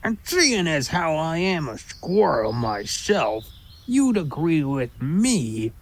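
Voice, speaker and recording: Gravelly, pushy big squirrel. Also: mid-range voice, big pitch swings, takes it slowly, a little hiss or hum. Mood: brash. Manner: brash, grumbling, slangy drawl. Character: huge pushy boastful squirrel who likes to scare travelers. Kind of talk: audio drama character acting.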